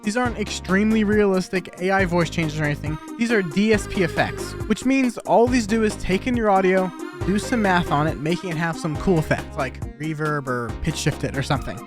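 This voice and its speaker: Fast, high-voiced young man. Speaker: software developer presenting own app update. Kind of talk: casual scripted video walkthrough. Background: background music.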